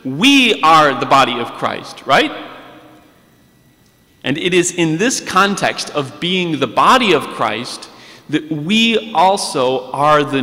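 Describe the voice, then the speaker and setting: Guy in his 30s preaching slow and earnest at a pulpit mic, voice rings out in a big church. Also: on the high side, sharp tone. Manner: earnest, deliberate preaching, reading scripture aloud. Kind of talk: sermon. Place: large church sanctuary, standing at a pulpit. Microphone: pulpit microphone on a stand. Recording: long church reverb.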